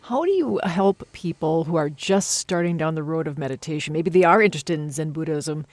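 American lady hosting a radio interview, low voice for a woman, really dry close studio sound. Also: animated, brisk, darkish tone, dry recording.

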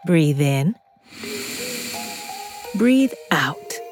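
A woman hosting a podcast, gently guiding breathing and affirmations with slow, encouraging calm. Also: sits low. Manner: slow, soothing, encouraging guidance. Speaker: podcast host. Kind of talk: guided breathing and affirmations for kids. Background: music.

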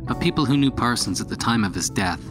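A man delivering serious, measured, scripted narration in the style of a documentary podcast. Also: medium pitch, expressive, a bit bright, soft background music.

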